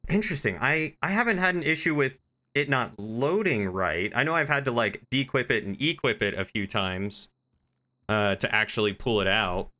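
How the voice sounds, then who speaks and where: Bright, forceful man chatting on stream. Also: mid-range voice, lively intonation, normal speed. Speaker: game streamer. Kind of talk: casual livestream chat while gaming.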